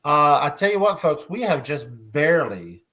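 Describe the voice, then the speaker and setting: A man moderating an informal fan panel as its host, speaking in halting, hesitant phrases. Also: a little high, big pitch swings, pushed and bright.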